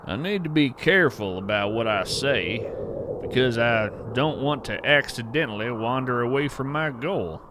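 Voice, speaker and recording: A man playing an earnest wandering naturalist in scripted audio fiction, narrating in a measured, poetic way with deliberate pauses. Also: mid-range voice, animated, background noise, close to an everyday voice. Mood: careful, reassuring. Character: earnest, wonder-struck wandering naturalist of hidden creatures. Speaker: podcast host narrator in character.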